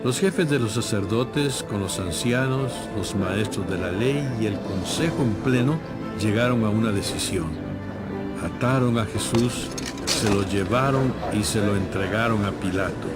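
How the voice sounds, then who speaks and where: A man's voice: fairly low pitch, measured pace. A man reading scripted, dramatized Bible narration. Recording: music bed behind narration.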